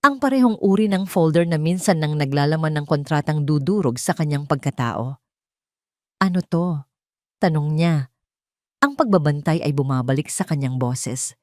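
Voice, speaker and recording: A woman's voice: deep voice, expressive, moderate pace, dry recording, clean studio sound. A woman with a Filipino accent reading a scripted dramatic story as a storyteller.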